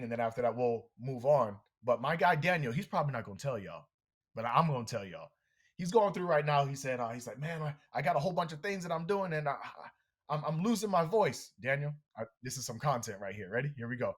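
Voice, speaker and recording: A man who is a guest on a podcast, giving an energetic motivational talk full of storytelling and speaking straight to the listeners. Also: medium pitch. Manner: animated, energetic, addresses listeners directly.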